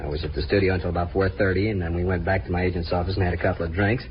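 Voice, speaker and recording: An actor playing a cagey, irritable out-of-work performer under police questioning, brusque and guarded, in 1950s radio drama style. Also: male voice, low voice, fairly even intonation, rapid-fire, natural voice. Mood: defensive, irritable. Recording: muffled, hissy old radio recording.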